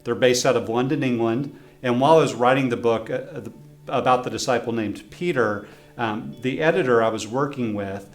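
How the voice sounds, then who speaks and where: A friendly man reads a children's story in an even, middle-pitched voice and pauses to put questions to young listeners. The room around him is roomy and echoey. A faint guitar plays behind him. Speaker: professor reading his own children's book. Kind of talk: reading a children's story aloud.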